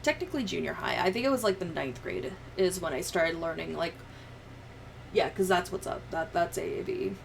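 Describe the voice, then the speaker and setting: A woman's voice: on the low side, brisk, gravelly, slight background noise. A woman livestreamer chatting and telling stories in a casual, sweary, giggly way. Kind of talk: casual livestream chat, storytelling.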